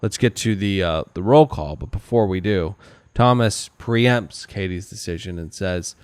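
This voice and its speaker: Male podcast co-host, lower register, clean smooth tone, sardonic and conversational. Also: unhurried, darkish tone, no room sound, studio quality. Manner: sarcastic, mocking. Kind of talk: casual comedic TV recap chat.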